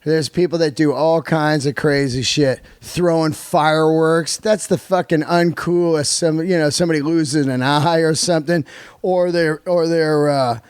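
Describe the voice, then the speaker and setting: A man's voice: a little high, fairly even intonation, moderate pace, clear, resonant tone, no echo, clean studio sound. An American man hosting a podcast in casual, slangy conversation, swearing freely. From San Francisco, United States. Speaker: podcast host.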